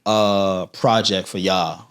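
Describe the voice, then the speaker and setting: A young man hosting a podcast, hyped and quick to laugh, often restarting his sentences. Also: deep voice, steady tone, a bit bright. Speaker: podcast host. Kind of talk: casual interview chat.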